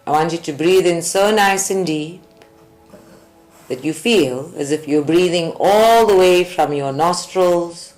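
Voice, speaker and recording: Slow woman guiding meditation. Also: lowish voice, a little mellow, poor audio. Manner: deliberate, measured phrasing with long pauses. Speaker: meditation guide. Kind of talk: guided group meditation. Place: group meditation at a creativity centre. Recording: live recording with music behind. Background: faint music.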